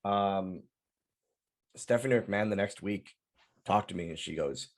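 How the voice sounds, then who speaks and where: Low-voiced male guest, plain and matter-of-fact answers. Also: a little slow. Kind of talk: interview answers.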